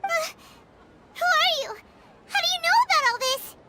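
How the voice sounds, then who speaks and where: A woman voicing a small, childlike, chatty fairy companion in a video game, squeaky and exclamatory, with noise over the recording. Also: lively intonation, normal speed, pushed and bright, full character voice. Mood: startled, puzzled. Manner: refers to self in third person, exclamatory.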